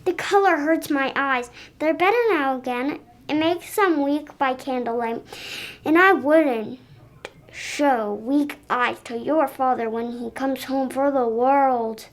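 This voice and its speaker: Gentle grieving mother read by a young student, high, slow, careful school reading. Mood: tender. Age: child voice, third grader. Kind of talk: school radio play reading.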